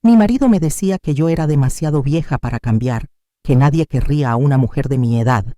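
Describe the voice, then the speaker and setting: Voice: medium pitch, fast talker, dark tone, dry recording, clean studio sound, lightly acted. A narrator voicing a grateful, newly confident woman of forty-five, respectful and earnest, in a story. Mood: earnest gratitude.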